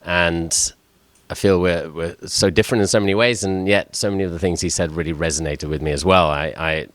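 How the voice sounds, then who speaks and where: A man's voice: low-pitched, steady tone, brisk, fairly bright tone, no echo. A middle-aged man who grew up in Switzerland, a chef speaking as a guest, telling his personal story in a hesitant way and often repeating words as he starts his sentences.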